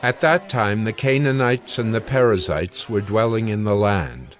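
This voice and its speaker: A man narrating scripture as a scripted reading in a measured, solemn storytelling manner. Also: fairly low pitch.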